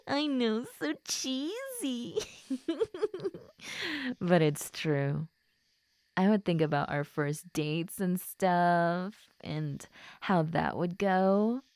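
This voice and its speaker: A woman playing a tipsy, giddy, lovestruck schoolgirl in an intimate roleplay audio drama, with soft murmurs and a drawn-out, affectionate delivery. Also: fairly low pitch, animated, smooth, no echo. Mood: dreamy, bashful.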